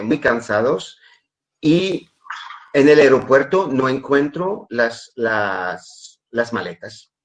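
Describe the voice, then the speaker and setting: Hesitant man with a French accent. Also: medium pitch. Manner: hesitant, many repeated words. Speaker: webinar speaker. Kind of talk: webinar talk. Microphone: video call.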